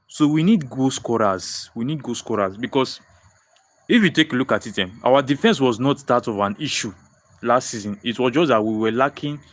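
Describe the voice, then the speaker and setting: Young Nigerian-accented man, animated fan-stream host who loops phrases, mid-pitched voice. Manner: excitable, repeats phrases, frequent restarts. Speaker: football livestream host. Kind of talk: live-stream fan chat reading viewer comments.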